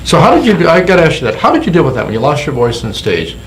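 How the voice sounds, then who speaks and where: A man hosting a casual interview, chatty and quick to laugh, often repeating his words. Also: on the high side, wildly swooping pitch, noisy background. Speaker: talk show host.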